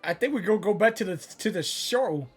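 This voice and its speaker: A woman reacting live to a video game story in an excitable, exclamatory way. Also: mid-range voice, normal speed, soft background music.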